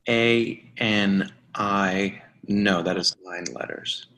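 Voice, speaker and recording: Man playing a slow, low-voiced office boss. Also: steady tone, bright, forceful tone, full character voice. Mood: preoccupied. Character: self-important bald office boss in a suit. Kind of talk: readers' theatre play reading.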